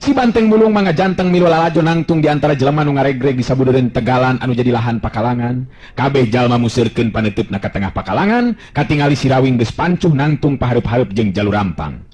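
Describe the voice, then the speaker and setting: A man's voice: mid-range voice, lively intonation, fast talker, a little mellow, slightly rough. A man with a Sundanese accent telling a folktale as a dramatic narrator. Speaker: storyteller.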